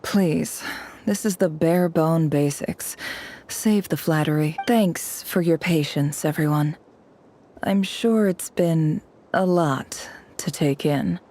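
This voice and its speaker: A woman's voice: deep voice, slow, mellow. A woman voicing a composed, proud, secretive desert-born crime boss in a video game, knowing and dryly teasing. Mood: cool, knowing. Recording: music playing behind the voice.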